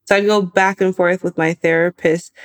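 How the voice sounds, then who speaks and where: A woman in her twenties from California, telling her own story in a lively, animated way. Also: on the low side, moderate pace. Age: 20s. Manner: lively, animated retelling with laughs. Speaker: guest. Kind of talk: personal storytelling.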